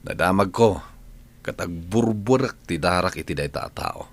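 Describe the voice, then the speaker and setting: A man's voice: low-pitched, expressive, moderate pace, creaky, breathy edge, heavily acted. A man playing a devoted, lovestruck family friend and godfather, in Filipino-accented radio drama. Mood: tender, earnest.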